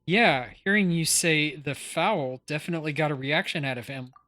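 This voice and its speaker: Low-voiced, composed young adventurer woman. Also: normal speed, fairly bright tone. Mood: thoughtful. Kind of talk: scripted video game dialogue.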